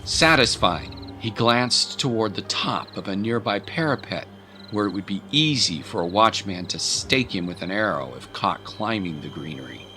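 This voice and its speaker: Narrator: man, lowish register, dramatic storytelling read with clear music bed behind. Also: expressive, moderate pace, sharp tone.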